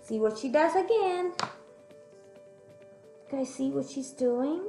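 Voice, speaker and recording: A young woman reading a picture book aloud to young children in an expressive, teacherly way, pausing to put questions to them. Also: sits high, creaky. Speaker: children's storyteller. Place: room at home, indoors. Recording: echoey room, music in the background.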